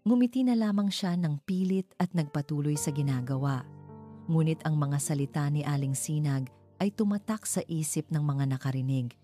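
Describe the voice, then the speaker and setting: A Filipino woman narrates a story in a low voice. Her tone is dark and mellow, with measured, dramatic phrasing. Music plays behind her.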